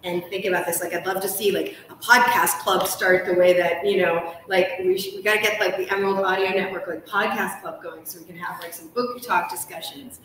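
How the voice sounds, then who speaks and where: A woman's voice: fairly low pitch, steady tone, a little fast, hardly any pauses, crowd noise. Casting: enthusiastic female interviewer, casual and wordy, heard away from the mic with strong room echo. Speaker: interview host. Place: hotel lounge with armchairs. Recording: echoey room, far from mic, noisy.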